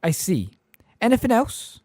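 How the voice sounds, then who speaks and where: A stern woman judge speaks in a cool, clipped manner with sharp questions, as a male audiobook reader puts her on. Her voice sits raised above his own and moves expressively. The sound is close and dry. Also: high-pitched, huge pitch swings, measured pace, dry recording, pitched above the performer's own voice, heavily acted. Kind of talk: audiobook character voice.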